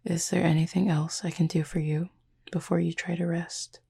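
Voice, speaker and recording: A woman voicing a calm, formal, gently teasing cupid demon roommate in a soft-spoken sleep-aid roleplay, with a husky, breathy voice. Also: heavily acted. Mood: amused, caring. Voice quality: husky, breathy, gravelly low voice. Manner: formal, measured, softly teasing speech.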